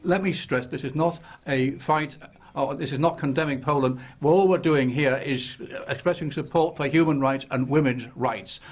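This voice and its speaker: A man's voice: fairly high pitch, moderate pace. A man giving a firm, emphatic speech in a parliamentary chamber. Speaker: parliament speaker. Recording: dull broadcast feed.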